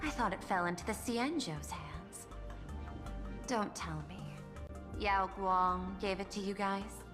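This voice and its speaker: A woman playing a composed, calculating android executive in a video game, speaking in a measured, formal, articulate way. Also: medium pitch, lightly acted. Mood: composed, analytical. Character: composed, calculating female android executive and art-seeking administrator. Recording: long reverb, music behind the voice.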